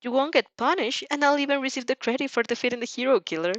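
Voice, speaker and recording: A woman's voice: high-pitched, fast, lo-fi sound, pitched above the performer's own voice, natural voice. A woman voicing a smug, teasing, affectionate male winged hero in an audio story, breezy and playful.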